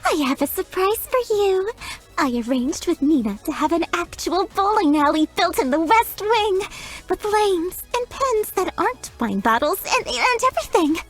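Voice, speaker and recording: Giggly flirty maid. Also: female voice, very high voice, very animated, normal speed, soft background music. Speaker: chambermaid character. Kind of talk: video game voice lines.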